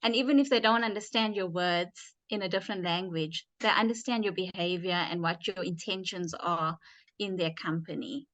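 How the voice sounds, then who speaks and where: Woman guest, doctor, bright and tense tone, measured explanations, dry close sound. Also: lowish voice, no echo. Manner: measured, thoughtful, explains with examples. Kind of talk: explanatory interview answers.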